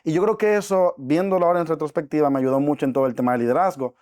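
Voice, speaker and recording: A man's voice: on the high side, rapid-fire, darkish tone, no room sound, clean studio sound. A man, a podcast guest talking earnestly and reflectively about his life, with frequent fillers. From Dominican Republic. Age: 20s. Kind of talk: casual interview talk.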